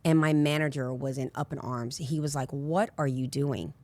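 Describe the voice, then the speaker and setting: A raspy woman in her forties, a life coach telling candid personal stories in a casual interview. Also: very deep voice, steady tone, moderate pace, a little mellow. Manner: candid, rambling storyteller with frequent asides. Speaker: life coach, podcast guest.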